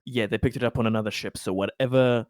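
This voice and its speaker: A man playing a tabletop roleplaying game, speaking in character in a measured, formal, official manner. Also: medium pitch, steady tone, a little mellow, no echo, clean studio sound.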